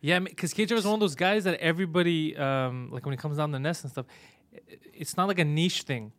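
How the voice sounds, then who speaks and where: A man's voice: fairly high pitch, quick pace, fairly bright tone, dry recording. A man hosting a podcast, asking long, loose questions filled with "uh" and "you know". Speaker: podcast host, comedian. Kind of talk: casual podcast interview.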